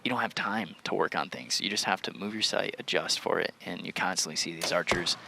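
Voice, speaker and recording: A man giving live sports commentary, explaining technique in a relaxed, analytical way. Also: low-pitched, fairly quick, creaky, heavy background noise.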